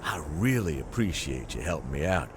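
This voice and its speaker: An actor playing a folksy, friendly frontier rancher in a video game, gravelly and expressive, reading out a neighborly letter. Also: male voice, extremely low pitch, moderate pace, background noise. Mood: friendly, appreciative.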